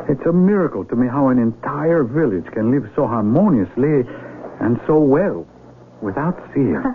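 Earnest outsider in an old radio play, very animated and dramatic, tinny sound w a bit of noise. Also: male voice, medium pitch, unhurried. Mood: wondering. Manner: emphatic, earnest, dramatic delivery. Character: earnest male outsider stranded among isolated villagers.

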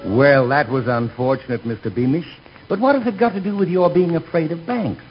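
An actor playing a brisk, coaxing bank official with a patronising manner, in 1940s radio comedy style. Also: male voice, a little high, faint music behind, close to an everyday voice. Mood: reasoning, puzzled. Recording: dull, hissy 1940s radio broadcast.

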